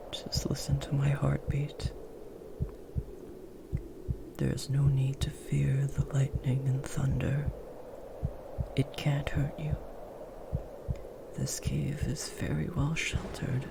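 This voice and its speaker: Casting: male actor for a big gentle werewolf, protective and soothing, mostly whispered, slow delivery, ASMR roleplay. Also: mid-range voice. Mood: reassuring. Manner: hushed, soothing, breathy whispering and murmuring. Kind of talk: comforting ASMR audio roleplay.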